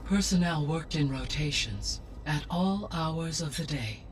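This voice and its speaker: A gentle, erudite male AI from a video game. His voice sits slightly high, and he speaks with calm, formal precision. Music plays clearly underneath. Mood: measured, informative. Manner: measured, formal, precise delivery. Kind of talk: scripted video game dialogue.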